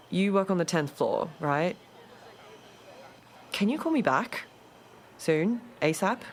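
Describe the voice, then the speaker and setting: A woman's voice: fairly low pitch, fairly bright tone, slight background noise, full character voice. An actress playing an awkward, jilted woman in her late thirties, leaving hesitant, rambling, emotionally exposed voicemails in a radio drama. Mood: confused, anxious.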